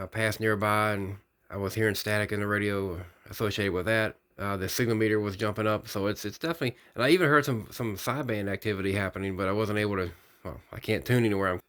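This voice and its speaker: Fast-talking man thinking aloud. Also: on the low side, fairly bright tone. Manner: rambling, technical, thinking aloud. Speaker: radio hobbyist video host. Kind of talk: unscripted product demonstration.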